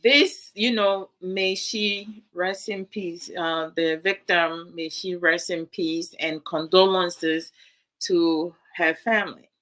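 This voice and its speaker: A woman's voice: fairly low pitch, fairly even intonation, slow pace, a bit bright, low-quality recording. A woman from Ghana, giving casual commentary to her live viewers in a musing, hesitant way with many fillers. Her is Twi. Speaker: vlog host.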